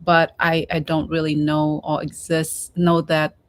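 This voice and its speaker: Middle aged lady from cambodia raised in the us, deep voice, talks real slow, keeps repeating words. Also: monotone. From Cambodia, grew up in the United States. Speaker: interview guest. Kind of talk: casual online interview.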